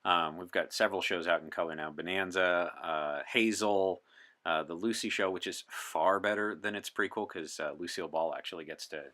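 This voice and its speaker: A man's voice: low-pitched, normal speed, pushed and bright. A man chatting as an interview guest, articulate and opinionated, with a wry streak. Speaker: podcast guest. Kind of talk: in-character interview chat as a 1960s time traveler.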